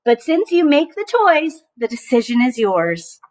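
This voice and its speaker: A woman puts on a kindly old Father Christmas for a storybook. He is mild and unhurried, musing and savouring his words in a high, gentle murmur. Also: sing-song. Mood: calm.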